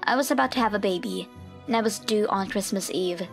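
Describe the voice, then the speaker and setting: Woman acting as expectant mother narrating a comic dub story, first person, medium pitch, music underneath. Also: a little fast, vocal fry, natural voice. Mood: reflective, hopeful. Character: woman recounting her harassed past, now an expectant mother.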